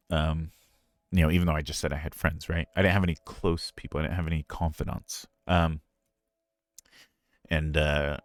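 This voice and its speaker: A man with a very deep natural voice telling stories casually on a livestream, candid and self-deprecating in a conversational way. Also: no echo. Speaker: streamer and ASMR roleplay voice performer answering a Q&A.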